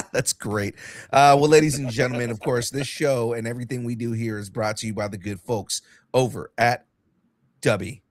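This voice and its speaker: A young man hosting a casual podcast, excitable and swearing freely, with a light cold and a slight cough. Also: medium pitch, normal speed, no room sound. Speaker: podcast host.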